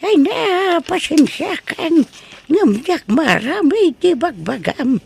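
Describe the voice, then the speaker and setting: Woman playing a very high, creaky, scolding old spinster. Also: very animated, slow pace, a little mellow, heavily acted. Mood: amused scolding. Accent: Ilocano. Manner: exclaiming, teasing, breaking into cackles. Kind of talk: Ilocano comedy audio drama acting.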